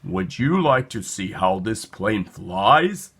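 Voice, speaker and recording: A friendly airline pilot from a storybook, played by a man. He is courteous and welcoming, speaking at a measured pace in a bright tone. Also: fairly low pitch, sing-song, slightly rough, heavily acted.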